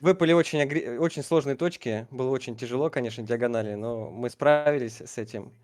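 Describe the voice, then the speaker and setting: A native Russian man speaking haltingly and apologetically as a gamer in a casual post-match interview. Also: mid-range voice, a little mellow. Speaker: competitive gaming team player being interviewed.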